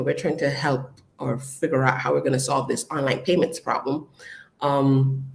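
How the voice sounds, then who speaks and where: An adult host giving a casual live-stream update to followers, chatty and upbeat, with frequent ums and shout-outs. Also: mid-range voice, vocal fry. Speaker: business community host.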